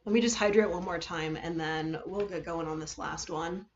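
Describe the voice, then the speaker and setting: A woman chatting casually to viewers as a livestream host, halting and rambling, often trailing off and correcting herself. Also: lowish voice, lo-fi sound. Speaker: livestream host reading fan fiction.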